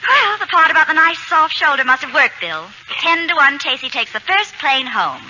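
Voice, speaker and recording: An actress playing a wry, gossipy neighbour with a dry sardonic edge in an old radio comedy. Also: female voice, high-pitched, sing-song, moderate pace, sharp tone, exaggerated voice. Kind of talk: 1940s radio comedy acting. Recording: muffled, hissy old radio broadcast.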